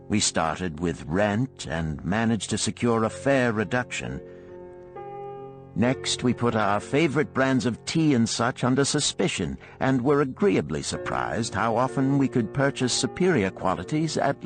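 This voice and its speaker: A man narrating an audiobook of parables and letters in a measured, expressive storytelling manner. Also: lowish voice.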